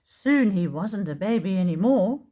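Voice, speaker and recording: A woman teacher reading a picture book aloud for young children in an expressive storytelling manner. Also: medium pitch, moderate pace. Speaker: preschool teacher.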